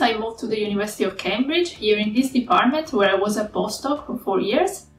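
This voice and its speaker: A woman in her thirties from Italy with an Italian accent, a university lecturer giving a careful, earnest scripted talk to camera in a small room. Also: mid-range voice. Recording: roomy echo, mic at a distance.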